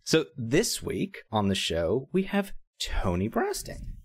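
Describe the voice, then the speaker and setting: A man's voice: mid-range voice, huge pitch swings, takes it slowly, creaky, slightly rough, no echo, clean studio sound. A man co-hosting a podcast in casual chat, jokey and rambling with fillers. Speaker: podcast co-host.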